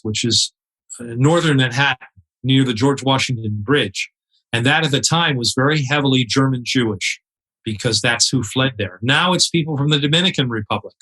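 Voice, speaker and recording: A man's voice: medium pitch, bright, forceful tone, poor audio. A man guest on a podcast interview, telling stories earnestly and quoting other people with feeling, often restarting his sentences. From United States. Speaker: podcast guest, charity cyclist. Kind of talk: conversational interview storytelling. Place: home in New York City.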